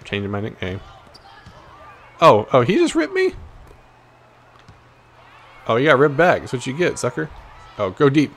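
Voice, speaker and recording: A man's voice: mid-range voice, huge pitch swings, unhurried, pauses often, a bit bright. An American man giving casual, playful trash-talking commentary as he plays a video game, jumping between exclamations and muttered asides. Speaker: gaming video host. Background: basketball video game sounds.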